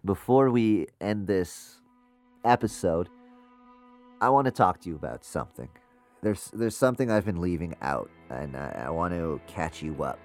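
A man playing an anxious, troubled ghost investigator who narrates his audio drama in a halting, confessional way. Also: deep voice, animated, a little mellow, faint music behind. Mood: hesitant, confessional. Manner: halting, hesitant, uneasy.

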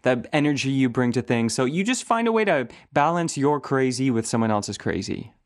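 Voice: mid-range voice, lively intonation, normal speed. An interview host chatting casually, encouraging the guest with responsive interjections.

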